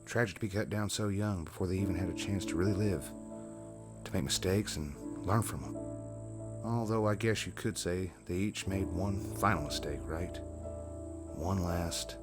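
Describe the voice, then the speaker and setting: A man voicing a pale, eerie, calmly menacing jogger in a horror story, with a halting, musing manner. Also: deep voice, loud music behind the voice, lightly acted. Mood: quietly menacing. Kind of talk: horror story character dialogue.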